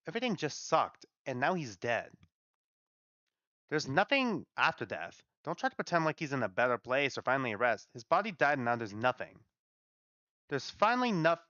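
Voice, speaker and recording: A man acts out an angry, grieving story character, blunt and exasperated. The voice is smooth and swings widely in pitch, recorded dry. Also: sharp tone, no room sound, lo-fi sound. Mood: bitter grief. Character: bitter, angry, blunt mourner of a dead friend. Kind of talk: dramatic read-aloud of a story.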